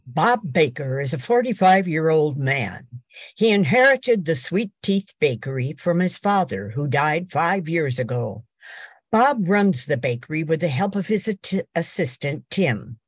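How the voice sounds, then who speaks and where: A woman's voice: deep voice, big pitch swings, a little slow, breathy edge, no echo, studio quality, exaggerated voice. An older woman playing the announcer of an old-time radio play, reading scripted scene-setting narration. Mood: matter-of-fact.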